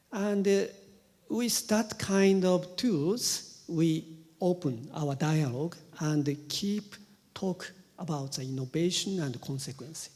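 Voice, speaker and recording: Halting Japanese-accented man, echoing hall. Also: on the high side, darkish tone, slightly rough. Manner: halting, many "uh" pauses. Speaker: government official, panelist. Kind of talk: panel discussion. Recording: reverberant conference hall.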